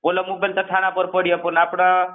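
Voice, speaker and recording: A man's voice: high-pitched, completely flat delivery, fast. A man in a casual phone conversation, explaining things at length and repeating himself, on a narrowband call recording.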